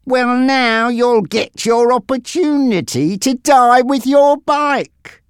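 A narrator voicing a slow, menacing gangster boss. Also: high voice, clean tone, dry recording, studio quality, pitched above the performer's own voice. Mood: taunting menace. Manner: slow, threatening, drawn-out delivery.

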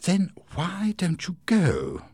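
A sly, threatening male clerk who drags out every word with slow, hissing malice, put on by a man reading a storybook. The voice sits higher and louder than a plain speaking voice, with a husky grain. The sound is dry and close. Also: wildly swooping pitch, no room sound, full character voice. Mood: impatient. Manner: slow, drawn-out hissing menace.

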